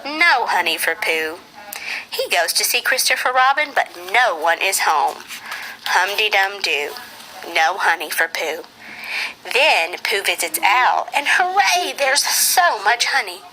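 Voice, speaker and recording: A woman narrating a children's storybook for a puppet show in an expressive, sing-song read-aloud manner. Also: medium pitch, slow pace, poor audio.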